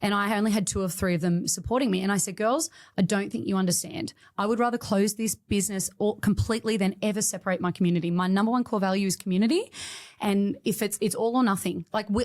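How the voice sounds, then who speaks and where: A woman's voice: mid-range voice, steady tone, quick pace, a little mellow. A woman, a studio owner telling her story with feeling in a podcast interview. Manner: emotional, emphatic storytelling.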